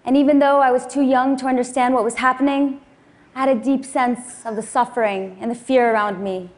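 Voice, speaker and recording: Woman in her 30s giving a talk, kinda high voice, calm and earnest, steady pace. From Afghanistan. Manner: measured, earnest delivery. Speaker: speaker. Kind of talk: prepared public talk.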